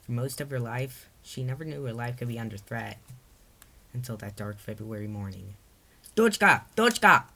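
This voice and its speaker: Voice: medium pitch, level tone, moderate pace, creaky, darkish tone, a little hiss or hum. A narrator reading their own story aloud in a storybook read-aloud style.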